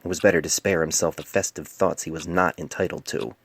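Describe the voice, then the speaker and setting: A man's voice: deep voice, fairly even intonation, fairly quick, vocal fry, husky, no room sound. A man reading scripted fan fiction narration as an amateur storyteller, recorded on a cell phone microphone. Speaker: author narrating own story.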